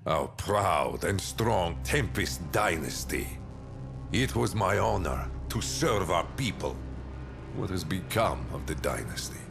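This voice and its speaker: A bitter, proud deposed ruler, with a very deep, gravelly voice. He broods theatrically and indignantly, slowly, in a game cutscene over music. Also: animated, heavily acted. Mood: bitter brooding. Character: bitter, proud fallen male ruler of a dynasty. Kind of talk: dramatic video game cutscene monologue.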